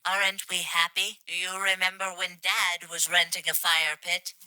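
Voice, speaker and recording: A woman's voice: on the low side, flat delivery, normal speed, pushed and bright, clean tone, no room sound, low-quality recording. A woman's voice reading scripted game lines in a stilted, literal way. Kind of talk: flat reading of garbled translated game lines.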